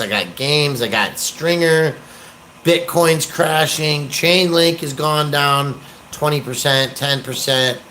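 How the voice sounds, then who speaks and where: An American man rambling casually on a livestream, hesitant and pausing often as he talks. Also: medium pitch, pushed and bright, a little hiss or hum, rough recording. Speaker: livestream host, activist.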